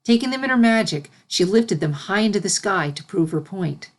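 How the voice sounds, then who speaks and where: A woman's voice: mid-range voice, animated, normal speed. A woman reading fan fiction aloud as scripted storybook narration.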